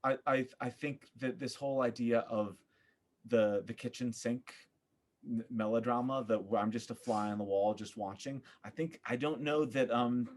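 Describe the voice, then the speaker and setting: A man giving a thoughtful interview as a podcast guest, searching for his words with an earnest, halting manner. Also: mid-range voice, fairly even intonation. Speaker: podcast guest, theater artist.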